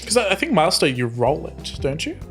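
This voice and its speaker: A man playing a tabletop game, telling his character's doings in halting, amused, casual improvisation. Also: on the high side, huge pitch swings, a bit bright. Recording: music playing under the voice.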